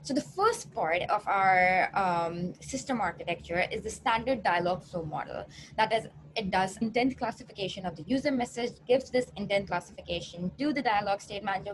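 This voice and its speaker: A woman's voice: mid-range voice, normal speed, background noise. A woman researcher giving an explanatory academic presentation, now and then stumbling over and restarting her words.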